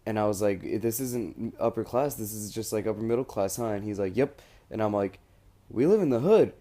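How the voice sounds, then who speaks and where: Low, mellow young American man rambling casually. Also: lively intonation, fairly quick. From United States. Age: young adult. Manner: rambling, informal, slangy. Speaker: student recording an audio log abroad. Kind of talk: casual spoken diary.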